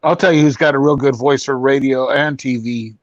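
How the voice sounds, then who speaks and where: A man's voice: mid-range voice, fairly even intonation, brisk, no room sound, muffled recording. A man hosting a live stream chat, teasing the guests and laughing often. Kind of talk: casual chat.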